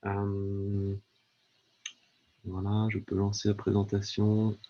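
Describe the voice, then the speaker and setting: A man's voice: lowish voice, monotone, takes it slowly, warm tone. A man presenting an astronomy department in an online information session, speaking hesitantly with many fillers and repeated words over a video call. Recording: dull lo-fi video-call audio.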